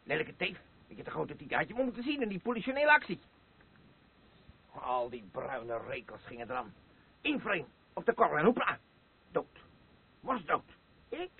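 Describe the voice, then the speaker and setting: A muffled radio play recording of a boastful old war veteran, drunk and crude. His voice is husky and fairly high, lurching up and down as he blusters, halts and rambles. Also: big pitch swings, full character voice. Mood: boastful, angry. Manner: slurring, rambling, halting, blustering.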